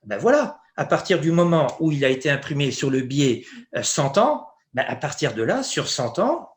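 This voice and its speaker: A man from Luxembourg hosting an interview in conversational French, emphatic and animated, sometimes laughing as he talks. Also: on the high side. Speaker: interview host.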